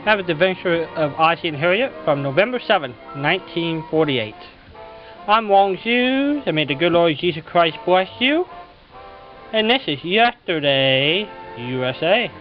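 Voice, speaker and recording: Guy hosting an old radio show program, highish voice, dull recording, bit of music behind. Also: lively intonation, unhurried.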